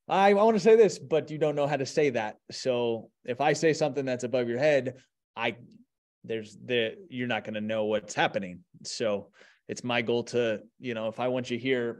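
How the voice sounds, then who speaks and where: A man's voice: medium pitch, a little mellow. A middle-aged man, a teacher, explaining his methods with examples on a video call. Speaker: teacher, presenter. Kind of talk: explanatory webinar talk with examples.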